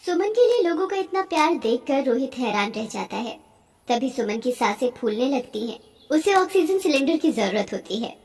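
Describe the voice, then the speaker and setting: High-voiced woman narrating a story. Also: big pitch swings, normal speed, a little mellow. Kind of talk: scripted cartoon story narration.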